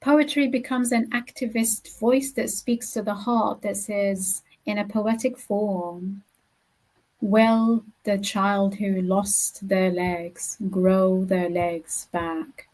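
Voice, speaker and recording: A woman whose first language is Arabic, a poet speaking in an interview in a measured, earnest and emphatic way. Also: mid-range voice, steady tone, darkish tone, rough recording. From Gaza, Palestine. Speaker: poet, guest. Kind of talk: interview answer.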